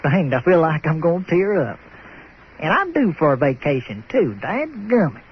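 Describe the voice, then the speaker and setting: An actor playing a folksy, excitable manure hauler with a Southern American accent, stammering and drawling in an old-time radio comedy. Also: male voice, on the high side, normal speed. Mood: impressed, touched. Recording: muffled radio sound with faint noise.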